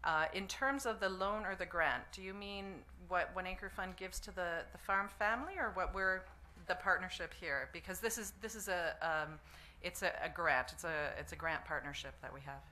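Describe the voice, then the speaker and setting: A low-voiced woman with a bright, firm edge answers as a foundation representative on a press panel. Her explanation is unscripted, with halts and self-corrections. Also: normal speed, slight background noise. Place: press conference room.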